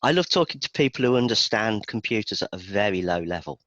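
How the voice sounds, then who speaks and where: A man's voice: lowish voice, expressive, fast, fairly bright tone, no room sound, dull audio. A man from Birmingham, England with a Birmingham accent, chatting casually as an interview guest in a self-deprecating, talkative way. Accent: Birmingham English. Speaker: interview guest, database performance expert.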